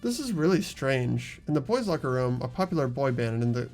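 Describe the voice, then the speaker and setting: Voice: mid-range voice, sing-song, a little fast, darkish tone, faint music behind. A performer playing an earnest, inquisitive teenage schoolboy in game dialogue. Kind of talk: fan dub of visual novel game dialogue.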